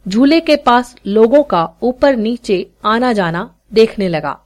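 Female story narrator for podcast fiction. Slightly high voice, animated intonation, scripted literary read. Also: slow.

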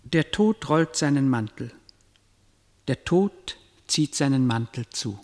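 An actor playing a solemn narrator in a radio play, speaking in a measured, incantatory way. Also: male voice, mid-range voice, animated. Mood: solemn, intense. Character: solemn male narrator of Franz's reckoning with Death. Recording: vintage radio drama, long echoing reverb.

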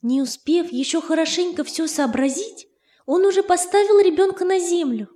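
A woman narrating a scripted children's story in a measured, expressive storytelling manner. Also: extremely high pitch. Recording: echoey studio, faint music behind. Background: faint music.

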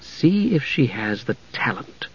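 A man voicing a shrewd, spirited female magic user, hushed and brisk, in a storybook narration. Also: medium pitch, lively intonation, dull audio.

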